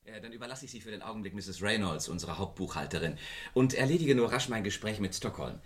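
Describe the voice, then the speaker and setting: Courteous store boss, halting delivery, lower register, 1970s radio play. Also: male voice, animated, husky. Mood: polite, busy. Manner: hesitant, courteous, frequent hums and pauses. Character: polite, hesitant businessman, a furniture store owner. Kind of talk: 1970s German radio crime drama acting.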